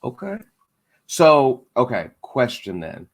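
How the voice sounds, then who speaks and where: A man hosting a comics interview show, talking informally and haltingly as he thinks his questions through aloud. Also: medium pitch, big pitch swings.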